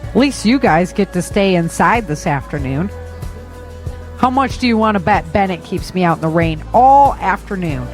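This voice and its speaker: Actress as young female recruit, spirited and put-upon, very lively intonation, audio drama, faint music. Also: on the low side, normal speed, lightly acted. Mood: wry.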